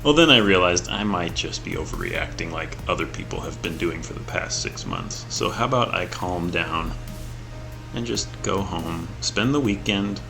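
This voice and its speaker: A man with a deep, clear voice chatting casually before a tabletop game, laughing easily as he tells stories. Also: animated, moderate pace, music in the background. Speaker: player. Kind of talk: casual pre-game chat.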